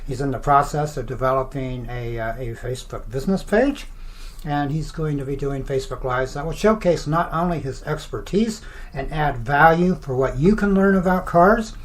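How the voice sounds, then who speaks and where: A radio host chatting and promoting in a conversational, halting way. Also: mid-range voice. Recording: clear background noise.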